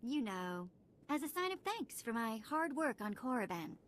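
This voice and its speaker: A woman's voice: fairly high pitch, sing-song, moderate pace, a little hiss or hum. A woman playing a cheeky young female sidekick in a video game, casual and playfully sarcastic. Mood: wry, hopeful. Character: wry, cheeky young female companion, freed slave. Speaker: voice actor in a game. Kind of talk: cinematic video game acting.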